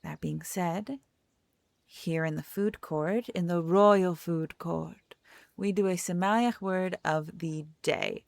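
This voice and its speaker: A woman's voice: lowish voice, slow pace, vocal fry, dry recording. A young adult woman streaming a game, chatting in a rambling, self-correcting way and reading the text aloud. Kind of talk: casual let's-play chat and reading game text aloud.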